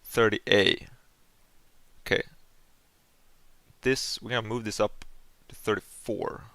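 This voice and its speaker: Gravelly, low-voiced Swedish-accented man thinking aloud. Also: steady tone, takes it slowly, bright, forceful tone, no echo. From Sweden. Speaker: animation streamer. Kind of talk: casual livestream, thinking aloud while working.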